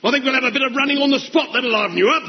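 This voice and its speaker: A man's voice: extremely high pitch, racing, highs cut off. An actor playing a brash, mocking army drill sergeant who barks orders and laughs at his own jokes. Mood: jeering, bossy. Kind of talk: sitcom acting.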